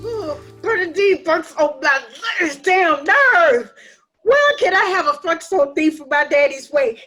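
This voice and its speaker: An actress playing a sarcastic, irritable daughter in a family dramedy, with a theatrical, exasperated manner. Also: female voice, very high voice, animated, takes it slowly, fairly bright tone, soft background music, heavily acted. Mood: mocking, exasperated. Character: sarcastic, irritable adult daughter mocking mournful hymns. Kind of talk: dramatic play reading.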